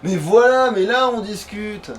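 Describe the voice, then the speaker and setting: A man's voice: sits high, a little slow, a crowd in the background. A man giving casual, sweary let's play commentary, often muttering to himself as he plays. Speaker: let's player. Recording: clearly noisy background.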